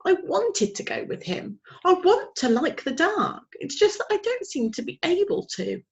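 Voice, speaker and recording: A woman voicing an eager, hungry little owlet boy who is afraid of the dark, with a high piping voice and an earnest, plaintive manner. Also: very animated, normal speed, vocal fry, dull audio, full character voice.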